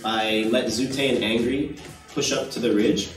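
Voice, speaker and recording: A man's voice: medium pitch, unhurried. A man recounting a match step by step in a recorded player interview. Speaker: esports player. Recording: roomy, distant mic, music underneath.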